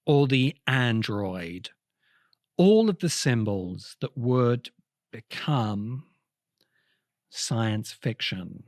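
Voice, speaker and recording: A man giving an explanatory lecture in emphatic short phrases with pauses between them. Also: medium pitch, a little mellow, dry recording, studio quality.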